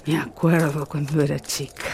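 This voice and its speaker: Kindly old village godmother, gravelly, unhurried, northwestern Argentine accent, radio play, slightly noisy recording. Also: deep voice, level tone, darkish tone. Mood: reflective. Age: older. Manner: slow, folksy, rustic. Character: kindly elderly rural godmother, weary and plainspoken. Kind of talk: radio drama acting.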